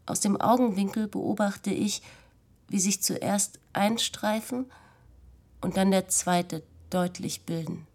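A woman's voice: medium pitch, steady tone, slow pace, a little mellow. A woman playing a restless young pregnant woman in a first-person radio drama monologue, told plainly. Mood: numb, matter-of-fact. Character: unexpectedly pregnant woman, restless, escaping into bodily sensation.

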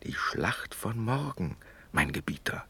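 A man's voice: fairly low pitch, big pitch swings, measured pace, vocal fry, bright, forceful tone, breathy edge, whispered, exaggerated voice. An actor playing a witty young nobleman and loyal count in a classical verse radio drama, speaking in a declamatory, wry way. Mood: wry, assuring.

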